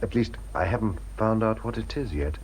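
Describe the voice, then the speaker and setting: A bewildered, courteous man played by an actor in a radio drama. His voice is very low yet varied in pitch. He speaks hesitantly, with faint noise behind him. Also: expressive, a little fast, a little mellow, natural voice, low-quality recording. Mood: polite, guarded. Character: bewildered, polite, nameless male former experiment subject.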